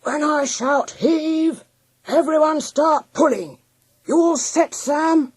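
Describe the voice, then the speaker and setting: A woman's voice: high voice, takes it slowly, a little mellow, dry recording, pitched above the performer's own voice. A woman voicing a bossy, hearty father mouse who rallies a team in a storybook. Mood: commanding. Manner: commanding, rallying. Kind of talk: storybook character voice.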